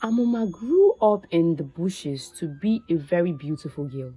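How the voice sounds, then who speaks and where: A woman narrating a scripted folktale in a measured, deliberate way, phrase by phrase. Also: fairly low pitch, animated, mellow, slightly rough, no room sound. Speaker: storyteller.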